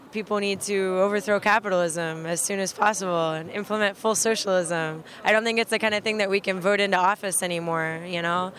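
A woman's voice: medium pitch, fairly bright tone. A woman from New York City speaking casually at an outdoor street protest, dropping in fillers. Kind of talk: street interview.

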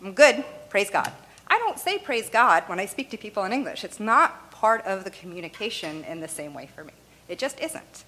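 Woman, native Arabic speaker, interview guest, conversational, chatty fillers, medium pitch. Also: moderate pace. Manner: lively, talks with "you know" and "right?", laughs lightly. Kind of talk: conversational explaining.

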